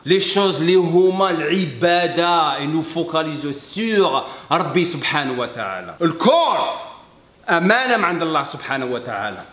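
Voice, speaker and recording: A man, a French speaker, talking earnestly to camera as a fitness coach, emphatic and halting, slipping between French and Arabic. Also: fairly high pitch, expressive, fairly bright tone. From Algeria. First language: English. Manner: emphatic, halting non-native French with repeats. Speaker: fitness coach and video host. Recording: echoey room.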